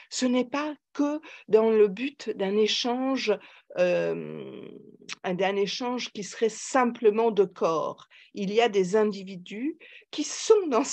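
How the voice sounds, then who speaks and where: A woman's voice: a little high, darkish tone. Older female guest. Thoughtful, emphatic psychoanalyst in conversation, feeling out each phrase. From Paris, France. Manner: emphatic, reflective, halting as she searches for words. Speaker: psychoanalyst and author, podcast guest. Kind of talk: conversational interview answers.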